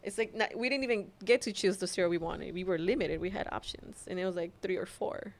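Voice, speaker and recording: A young woman from Mexico whose first language is Spanish, a mother sharing her story as a podcast guest in a soft, hesitant way with frequent laughs. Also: mid-range voice, fast, vocal fry. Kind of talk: casual personal storytelling.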